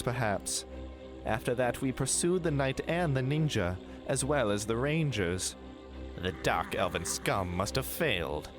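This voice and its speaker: A man playing a grim, dutiful dark knight captain giving formal military reports in a fantasy audio drama. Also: mid-range voice, close to an everyday voice. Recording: loud music under the voice. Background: music.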